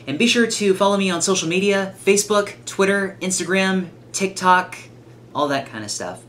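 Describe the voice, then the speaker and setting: A man's voice: high-pitched, animated, normal speed, a bit bright, a little hiss or hum. A 21-year-old man giving casual, blunt reaction commentary with some swearing. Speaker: reaction channel host. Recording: music behind the voice. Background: music.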